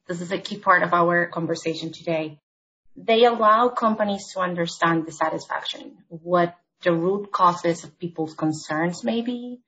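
Woman from Argentina, podcast guest, lowish pitch, measured and explanatory. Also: a bit bright, rough recording. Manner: measured, explanatory, structured points. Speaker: HR consultant guest. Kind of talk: podcast interview.

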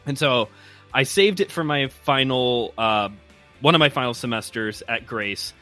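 A young man from the Chicago area speaks with a local accent, bright and a little tense in tone. He tells his story casually, with small fillers and restarts. Faint music sits under him. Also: medium pitch, a little slow. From Chicago area, US Midwest. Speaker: guest host, preacher. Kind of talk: casual podcast chat.

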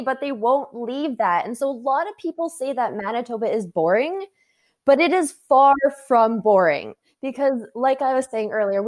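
A Canadian woman in her twenties, chatting as a guest in an interview, upbeat and quick to laugh. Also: medium pitch. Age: 20s. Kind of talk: casual interview chat.

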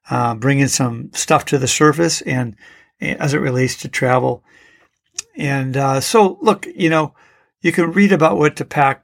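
A man's voice: medium pitch, vocal fry. A middle-aged man hosting a solo podcast, talking in a loose, rambling way with many fillers and restarts. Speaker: podcast host. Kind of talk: casual solo podcast talk.

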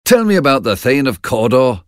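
A man's voice: medium pitch, sing-song, normal speed, no room sound, voice pushed up, lightly acted. A stern king hands out firm commands in a raised voice, as a man acts him out. The recording is dry and close. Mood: commanding. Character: commanding old King Duncan.

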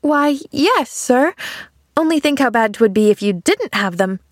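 A woman's voice: high voice, animated, unhurried, no echo, clean studio sound, voice pushed up. A woman voicing a cheerful, naive little girl in an audiobook, eager and earnest, rambling on chattily. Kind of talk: audiobook character dialogue.

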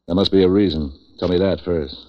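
An American man playing a cynical, street-wise waterfront hustler in deadpan 1940s radio noir style. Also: low voice, a little fast, natural voice. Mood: deadpan, needling. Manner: hard-boiled, deadpan wisecracking. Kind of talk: 1940s radio noir acting, first-person narration. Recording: dull, lo-fi 1940s radio recording.

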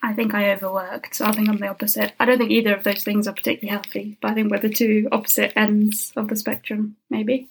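A young woman at university co-hosting a casual podcast, candid and teasing, with quick asides. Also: medium pitch, flat delivery, gravelly, slight background noise, poor audio. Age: young adult. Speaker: podcast co-host. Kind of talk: casual chat.

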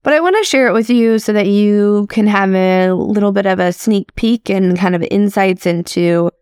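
A woman's voice: mid-range voice, a little mellow, clear, resonant tone, no room sound, studio quality. A woman in her thirties hosting a podcast, talking through personal reflections in a chatty, upbeat way full of casual fillers. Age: 30s. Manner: chatty and upbeat, with frequent "like" and "crazy". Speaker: podcast host.

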